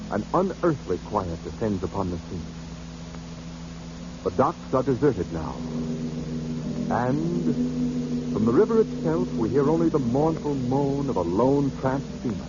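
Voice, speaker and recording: A man narrating a vintage radio drama in a theatrical, emphatic 1930s style. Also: mid-range voice. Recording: muffled old radio recording, clear noise.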